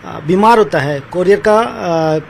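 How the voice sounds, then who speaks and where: A man giving his opinion in an unscripted interview, pausing to find words. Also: high-pitched, expressive, gravelly. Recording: street interview with faint traffic noise.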